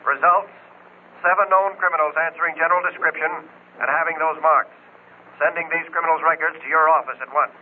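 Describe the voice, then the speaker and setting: An actor playing an impersonal police dispatcher reading a bulletin in clipped, telegraphic phrases in a 1940s radio drama. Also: male voice, fairly high pitch, fairly even intonation, brisk, natural voice. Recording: scratchy, tinny old-time radio recording.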